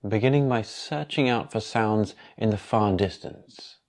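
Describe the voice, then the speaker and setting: A man guiding a yoga nidra meditation in a calm, unhurried, instructional manner with long pauses. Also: on the low side. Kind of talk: guided meditation.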